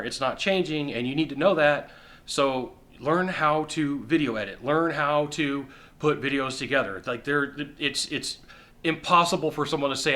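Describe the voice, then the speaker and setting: A middle-aged man giving sales advice straight to the camera from a sofa indoors, emphatic and repetitive, with quick acted-out asides. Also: medium pitch, sharp tone. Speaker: sales coach. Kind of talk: direct-to-camera advice talk. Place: indoor living room on a sofa.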